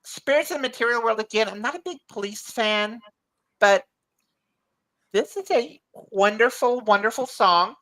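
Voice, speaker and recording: Bright-voiced, hoarse middle-aged woman. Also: a little high, normal speed. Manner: enthusiastic, repeats and restarts words. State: losing her voice, hoarse. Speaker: podcast panelist. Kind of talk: casual chat.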